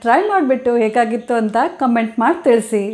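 A middle-aged woman hosting a cooking channel, giving friendly, clear step-by-step recipe instructions from her home kitchen on a lapel mic. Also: fairly high pitch, quick pace, darkish tone, dry recording.

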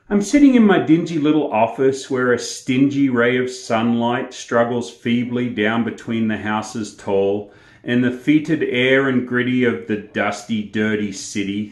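Australian male storyteller, sixties, mid-pitched voice, presenting verse and chat in an echoey room. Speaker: host and storyteller. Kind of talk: poetry recitation and casual talk to camera. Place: small indoor room with plain walls, echoey.

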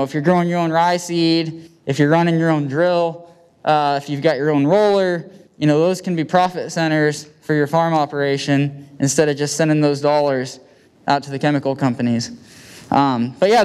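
A man's voice: on the high side, a little mellow, clean tone. A man with a Midwestern American accent giving an informal, plain-spoken presentation as a farmer, speaking into a handheld microphone. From United States. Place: indoor meeting room with seated audience.

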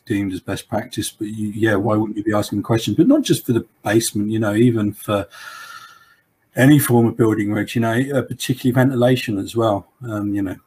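A man's voice: on the low side, a little fast, a little mellow, slight background noise. A man answering audience questions as the expert guest on a webinar video call, in a rambling, informal way full of "you know".

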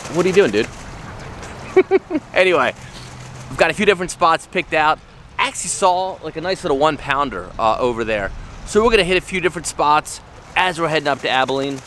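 A man's voice: fairly high pitch, normal speed. Chatty man vlogging outdoors. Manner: relaxed, chatty, upbeat, with fillers. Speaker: fishing vlogger. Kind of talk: casual vlog talk to camera. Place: outdoors by lakes and creeks. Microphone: handheld action camera at arm's length. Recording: faint outdoor background noise.

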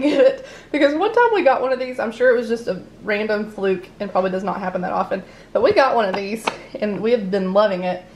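A chatty woman talks through her groceries at the dining table, laughing easily and drifting into asides. Her pitch sits in a middle range. A faint room hum is heard behind her. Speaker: family vlogger. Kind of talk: casual grocery haul chat. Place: home dining room, indoors.

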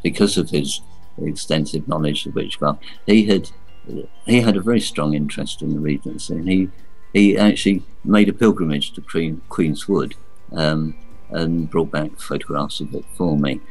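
A man's voice: very deep voice, normal speed, gravelly, a little mellow, soft background music, low-quality recording. A man from England, a guest author, answering in a hesitant, thoughtful way with repeated words. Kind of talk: interview answers.

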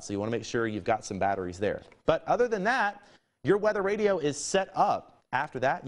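A young man, a TV weather presenter, walks through instructions step by step in a casual, explanatory on-air manner. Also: medium pitch. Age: young adult. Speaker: TV meteorologist. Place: indoor TV news studio.

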